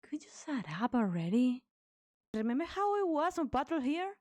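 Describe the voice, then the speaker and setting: A woman's voice: high voice, sing-song, moderate pace, a little mellow, no echo. A woman voicing a cocky, taunting young female demon mercenary with a sarcastic, playful, mock-polite delivery in an amateur game fan dub. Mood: mocking, gleeful.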